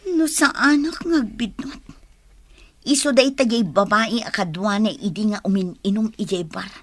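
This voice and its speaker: A woman's voice: medium pitch, moderate pace, no room sound, natural voice. A woman playing a hurt, devoted young girlfriend in an Ilocano Filipino radio drama, speaking plaintively. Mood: hurt, suspicious.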